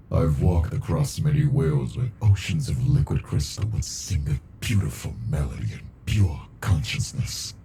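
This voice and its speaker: A man voicing an ancient, arrogant captive alien in an ASMR monster roleplay, murmuring with slow, amused menace and condescension in a deep, gravelly voice. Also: mellow, a little hiss or hum, heavily acted.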